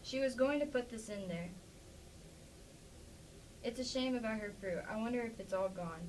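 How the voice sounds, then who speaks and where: A woman's voice: on the high side, moderate pace, natural voice. A woman playing a plainspoken, defensive farmer's wife in an amateur staged play reading. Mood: wistful, sympathetic. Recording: distant mic with faint background noise.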